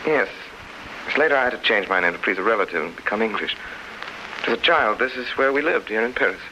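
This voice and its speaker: A man's voice: fairly low pitch, animated, fast talker, pushed and bright. A man in a 1930s radio drama playing an earnest, wistful young English architect, polite and hesitant. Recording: muffled, hissy 1930s radio recording.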